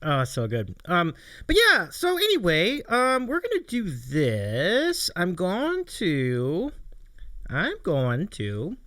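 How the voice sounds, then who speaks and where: A man's voice: high-pitched, wildly swooping pitch, takes it slowly, fairly bright tone, dry recording, clean studio sound. A man hosting a livestream, chatting casually with his guest and viewers. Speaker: livestream host.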